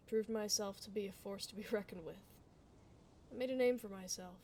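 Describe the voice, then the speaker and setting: A woman's voice: medium pitch, moderate pace, darkish tone, slight background noise, close to an everyday voice. A woman playing a blunt, kind tomboy dullahan adventurer in a casual, reassuring fantasy ASMR roleplay. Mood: casual, frank. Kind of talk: ASMR fantasy roleplay, close conversational acting.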